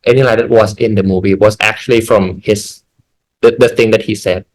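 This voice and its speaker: A film director from Thailand speaking English with a Thai accent, measured and restarting often. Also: male voice, lowish voice, steady tone, poor audio. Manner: measured, with repeated words and restarts.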